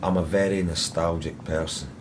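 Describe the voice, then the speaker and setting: An actor playing a surly, evasive butcher in a radio drama, terse and deadpan. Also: male voice, low-pitched, moderate pace, close to an everyday voice. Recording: noisy background.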